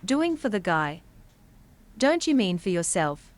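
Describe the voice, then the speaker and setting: An actress playing a weary, knowing monster expert in a comedy horror audio drama, dry and exasperated. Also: female voice, medium pitch, sing-song, measured pace, slight background noise. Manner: dry, exasperated, matter-of-fact.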